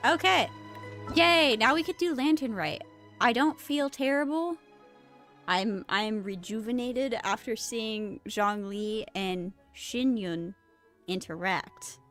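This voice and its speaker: A woman's voice: a little high, takes it slowly, fairly bright tone, soft background music. A young woman doing casual live gameplay commentary as a streamer, informal and thinking aloud. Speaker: game streamer.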